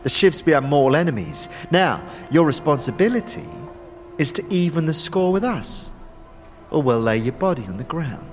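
A man's voice: on the high side, animated, moderate pace, soft background music, pitched above the performer's own voice, close to an everyday voice. A man voicing a mischievous female gang member in a fantasy audio drama, with theatrical mock courtesy and archaic phrasing. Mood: politely menacing.

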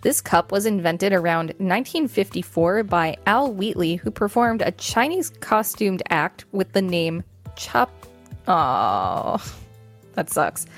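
A woman's voice: lowish voice, very animated, takes it slowly, faint music behind. A woman with an American accent, co-hosting a podcast, reading an article aloud with casual remarks. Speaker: podcast co-host.

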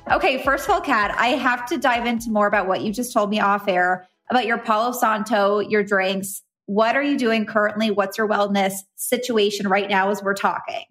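Female podcast host, mid-pitched, chatty and gushing, informal interviewer style. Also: moderate pace, few pauses, a bit bright, faint music behind.

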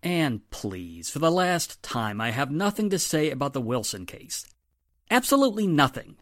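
Animated, stern man acting a police inspector. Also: a little high, normal speed, no echo, clean studio sound. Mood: exasperated. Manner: emphatic, stern. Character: exasperated, firm male police inspector. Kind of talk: 1940s radio drama acting.

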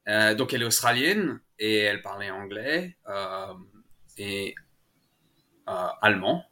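A man's voice: fairly low pitch, animated, measured pace, sharp tone. A man from France, a father as interview guest, chatting casually with many fillers, restarts and laughs. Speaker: guest, French-speaking father.

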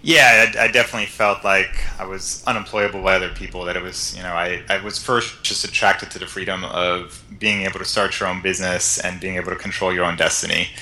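A very deep, bright-toned male voice that barely moves in pitch. He speaks as a podcast guest, relaxed and halting, with lots of ums and restarts. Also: a little hiss or hum, poor audio. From Netherlands and California. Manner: relaxed, hesitant, many fillers and restarts. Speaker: guest entrepreneur. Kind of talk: casual interview.

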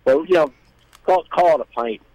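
Man, slightly high voice, casual guest chat, rambling explanations, dry close sound. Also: normal speed, darkish tone, no echo, dull audio. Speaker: guest, musician.

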